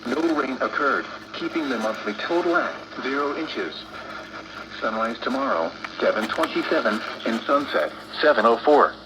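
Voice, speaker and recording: A man's voice: medium pitch, lively intonation, normal speed. Male weather radio announcer, very noisy playback. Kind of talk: scripted weather bulletin readout. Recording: weather radio broadcast played through small speaker, noisy.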